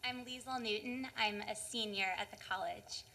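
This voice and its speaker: A woman's voice: fairly high pitch, steady tone, unhurried, sharp tone, slight background noise. An American woman in her early twenties, a student putting a prepared advocacy question at a public forum. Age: early 20s.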